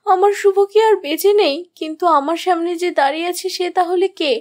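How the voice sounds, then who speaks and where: A very high-pitched young wife played by a woman tells her cartoon horror story. She sounds anxious and suspicious of her husband, with dramatic, troubled delivery. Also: a little fast. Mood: distressed. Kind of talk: first-person scripted horror story narration.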